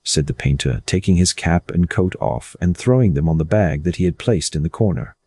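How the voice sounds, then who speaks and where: Male audiobook narrator, extremely deep, dark and husky, reading literary prose with lively intonation. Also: fairly quick.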